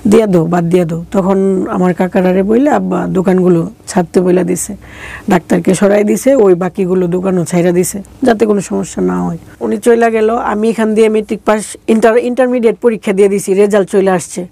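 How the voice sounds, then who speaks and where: Mellow Bangladeshi woman recounting her life. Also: medium pitch, steady tone, fairly quick, creaky. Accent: regional Bangladeshi. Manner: colloquial, acting out remembered dialogue. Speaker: interview guest. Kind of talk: conversational personal life-story telling.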